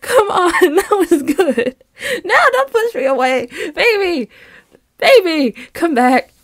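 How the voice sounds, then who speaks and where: A woman playing an affectionate, playful, excitable young girlfriend in an intimate roleplay audio, with a bubbly, teasing and pleading manner. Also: very high-pitched, takes it slowly, no room sound, studio quality, full character voice. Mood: giggly pleading.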